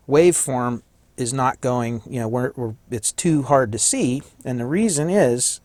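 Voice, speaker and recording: Slightly hoarse man explaining a circuit. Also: mid-range voice, darkish tone, dry recording. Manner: thinks aloud, corrects himself, fillers. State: slightly hoarse, losing his voice. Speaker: electronics hobbyist demonstrating a kit. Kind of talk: casual hands-on tutorial.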